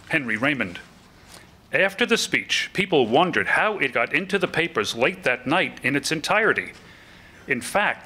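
An older man plays a knowing newspaper reporter of the 1800s in a staged reading. His voice is bright and forward as he narrates his own account into a stage microphone. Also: mid-range voice, breathy edge. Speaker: amateur actor reading a historical part. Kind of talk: scripted first-person narration at a staged reading. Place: auditorium stage before an audience. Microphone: microphone on a stand.

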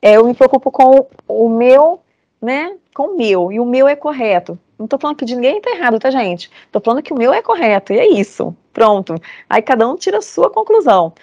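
A woman's voice: high-pitched, sing-song, brisk, warm tone, no echo, dull audio. A woman in her forties from Brazil, giving an earnest, emphatic motivational talk to students over a video call. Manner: earnest, emphatic, repeats phrases for conviction. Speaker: lecturer.

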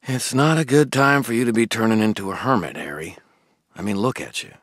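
A man's voice: fairly low pitch, brisk, no room sound, clean studio sound, pitched above the performer's own voice. A man voicing an earnest young man who pesters and pleads with his friend in a storybook. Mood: concerned. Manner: insistent, pleading.

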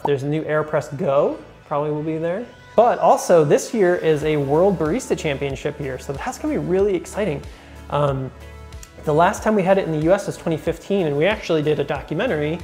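A young man hosting a casual talk to camera, enthusiastic and chatty with many fillers, in a bright indoor room. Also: a little high, lively intonation, fairly quick, soft background music. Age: young adult. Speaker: host.

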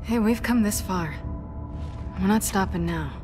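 A woman's voice: medium pitch, measured pace, natural voice. An actress playing a young female fighter with a dry, sarcastic humor. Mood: wry. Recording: game audio over loud music.

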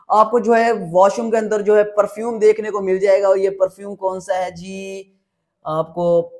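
High-pitched Pakistani man hosting a tour. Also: a little mellow. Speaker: property tour host. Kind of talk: enthusiastic house tour presentation.

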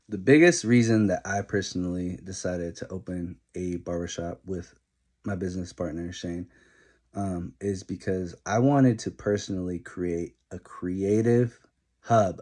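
A man's voice: low-pitched, darkish tone. A young man who owns a barbershop, giving straightforward advice to camera in a casual talking-head vlog. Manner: earnest, straightforward advice, list-like delivery. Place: small indoor room at home. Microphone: phone camera held close.

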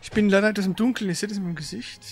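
A man's voice: sits high, racing. A man hosting a gaming livestream, chatting informally and rambling a little. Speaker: gaming stream host. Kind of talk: casual livestream chat.

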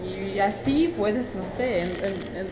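A woman's voice: mid-range voice, slow pace, noisy background. A young Russian woman with a Russian accent, speaking Spanish as a second language, hesitant and searching for words, picked up off to the side by a handheld camera. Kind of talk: casual chat while walking. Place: outdoors in a city and in a shopping arcade.

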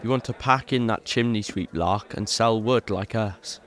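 An actor playing a blunt, teasing, protective older brother. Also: male voice, on the low side, normal speed, a bit bright, close to an everyday voice. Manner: blunt, mocking. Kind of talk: audio drama acting.

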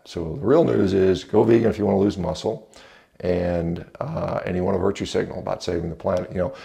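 Deep, very gravelly American man. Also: dark tone. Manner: blunt, dismissive, conversational with hedging asides. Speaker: video reviewer and commentator. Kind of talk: unscripted opinion commentary to camera. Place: indoors, home entrance hall. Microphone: small clip-on lapel mic.

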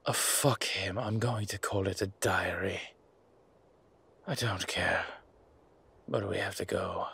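Man as a husky, strained old vampire. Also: deep voice, slow, pushed and bright, full character voice. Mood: exhausted, wry. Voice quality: breathy, raspy. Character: ancient male vampire father, weakened, urgent, protective. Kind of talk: audio roleplay acting.

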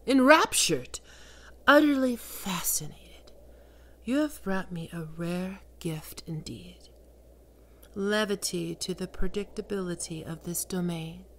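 A woman voicing a seductive, domineering female demon queen of lust in an ASMR roleplay, speaking slowly and sultrily, often in a whisper. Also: fairly low pitch, a bit bright, a little hiss or hum. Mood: sultry.

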